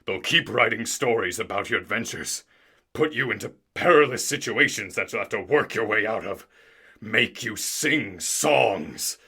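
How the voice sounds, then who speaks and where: A man playing a flustered, theatrical radio drama narrator, halting and dramatic as he pleads. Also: lowish voice, expressive, pushed and bright, breathy edge, full character voice.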